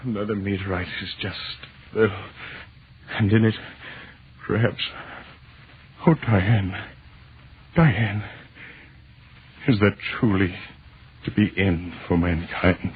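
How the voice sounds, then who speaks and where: Desperate husband, slow and halting. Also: male voice, fairly low pitch, steady tone. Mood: shaken, horrified. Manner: anguished, halting, melodramatic. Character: desperate husband fighting to save his entranced wife. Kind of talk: 1940s radio drama acting. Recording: muffled, noisy old radio recording.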